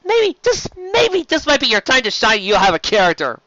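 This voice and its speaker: An excitable mock mourner, played by a man wails in a whiny, theatrical, much raised voice. The delivery is forceful, and the story recording is dry. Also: very high-pitched, moderate pace, no room sound, highs cut off, voice pushed up, exaggerated voice. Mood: mock grief.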